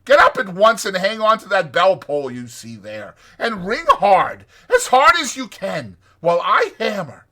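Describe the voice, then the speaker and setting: A man voicing an excitable, bossy male water rat from a storybook, scolding and exclaiming emphatically. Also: high voice, moderate pace, few pauses, voice pushed up, full character voice. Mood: impatient, commanding.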